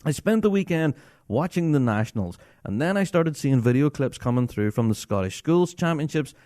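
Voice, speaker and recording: Lively, enthusiastic man. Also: on the high side, normal speed, dry recording, studio quality. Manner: enthusiastic, chatty, laughs often. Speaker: podcast host. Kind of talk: casual solo podcast talk.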